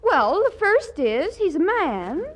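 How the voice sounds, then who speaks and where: A woman's voice: very high-pitched, big pitch swings, slow pace, heavily acted. An actress playing a brash, man-crazy young woman in 1940s radio comedy, melodramatic and gushing. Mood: wisecracking. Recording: hissy 1940s radio recording.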